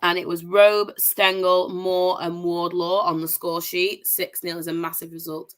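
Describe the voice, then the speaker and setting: A woman's voice: on the low side, level tone, measured pace. Chatty, posh-sounding woman host. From Manchester, England. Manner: upbeat, chatty, reels off scores with enthusiasm. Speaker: host of a women's football review show. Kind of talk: casual livestream results roundup.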